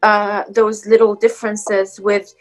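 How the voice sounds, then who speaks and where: A woman's voice: medium pitch, flat delivery, a little slow, faint music behind, rough recording. A woman whose first language is Arabic, speaking as a guest scholar in a casual academic conversation, with many fillers and restarts.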